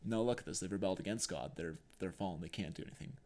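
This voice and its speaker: A man with a slightly low voice speaks as a podcast co-host. He talks casually and earnestly, as in a conversation. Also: very fast, a little mellow.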